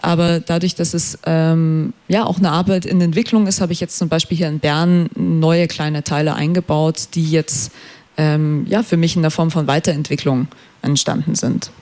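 A young man, a performing artist, answering a radio interview thoughtfully, pausing often to find his words. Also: on the high side, level tone, a little mellow. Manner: thoughtful, searching for words, many fillers. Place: radio studio.

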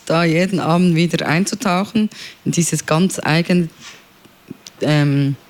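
Radio host, friendly and upbeat, asks open questions, mid-pitched voice. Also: measured pace. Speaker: radio interviewer. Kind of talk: radio interview. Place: radio studio.

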